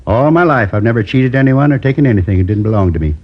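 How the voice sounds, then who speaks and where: An actor playing a lonely man in his seventies who confesses to a robbery in a slow, rambling, wistful way, in 1950s radio drama acting. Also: medium pitch, lively intonation, lightly acted. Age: 70s. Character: lonely old male drifter turned robber. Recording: dull old radio broadcast.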